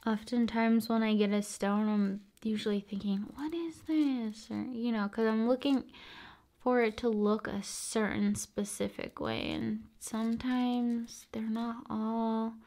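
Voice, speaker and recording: A soft-spoken woman hosting an ASMR video, musing casually in short, paused phrases and often slipping into whispers. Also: fairly high pitch, fairly even intonation. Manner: unhurried, pausing between short phrases.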